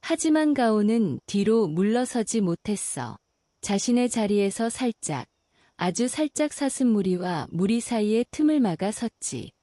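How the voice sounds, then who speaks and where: Female storyteller for a bedtime story, mellow and soothing, melodic delivery, dry close sound. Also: mid-range voice, very animated, dry recording. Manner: slow, soothing and gentle. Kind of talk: calm bedtime story narration.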